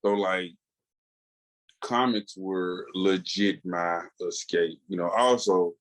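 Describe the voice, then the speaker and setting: Deep-voiced Southern US man, casual storyteller. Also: slow pace. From Tennessee, rural southern United States. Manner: colloquial storyteller, acts out quoted dialogue. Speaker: comics podcast co-host. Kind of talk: casual animated chat.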